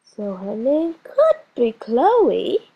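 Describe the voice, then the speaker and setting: A chatty little schoolgirl who won't stop interrupting, put on by a woman reading a storybook. She drags her words out slowly with a breathy, husky edge, sitting a touch high in pitch and pushing each question with insistence. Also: huge pitch swings, dull audio, exaggerated voice. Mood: pestering.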